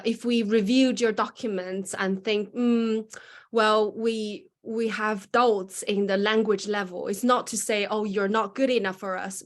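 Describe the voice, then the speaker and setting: Woman explaining admissions on a video call, mid pitch. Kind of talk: explaining requirements in online meeting.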